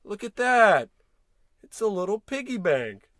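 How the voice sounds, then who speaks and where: A man as an animated, fretful radio-play husband. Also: high voice, a little slow, dry recording, clean studio sound, heavily acted. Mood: warm, agreeable. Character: excitable, fretful husband in an old radio comedy. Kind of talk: 1940s radio acting.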